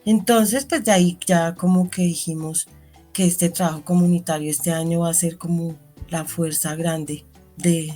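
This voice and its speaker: A woman's voice: lowish voice, steady tone, a little slow, warm tone, soft background music. Reflective Bogotá woman telling her life story. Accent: Bogotá Spanish. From Colombia. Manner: reflective storyteller, many restarts and fillers. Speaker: interview guest, community educator.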